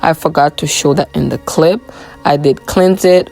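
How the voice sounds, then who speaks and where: Hoarse woman vlogger, low and gravelly. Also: moderate pace, faint music behind. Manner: relaxed, chatty, many "um" fillers. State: hoarse from a cold. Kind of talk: voiceover narrating a morning routine.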